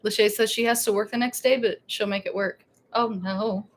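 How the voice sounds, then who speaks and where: A woman's voice: medium pitch, steady tone, normal speed, a little hiss or hum. A woman co-hosting a casual theme park live stream, chatty and laughing, rambling with restarts.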